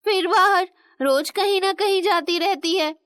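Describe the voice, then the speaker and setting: Very high voice, woman acting as elderly mother-in-law, scolding yet motherly, cartoon storytelling, dry close sound. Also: unhurried, a bit bright, no echo. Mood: annoyed, sarcastic. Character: stern but loving elderly Indian mother-in-law. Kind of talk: animated moral story dialogue in Hindi.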